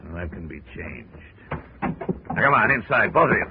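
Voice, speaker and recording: A man's voice: deep voice, very animated, normal speed. An actor playing a ruthless armed male gangster who barks orders and sneers, in 1940s radio acting. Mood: sneering. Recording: dull 1940s radio broadcast with noise.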